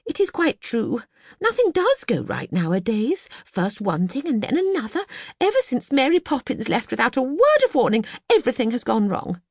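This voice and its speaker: Woman acting a high, fretful housewife. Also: wildly swooping pitch, normal speed, darkish tone, no room sound, clean studio sound, full character voice. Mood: tearful, upset. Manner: nervous, fluttery. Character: anxious, fretful wife and mother, easily upset.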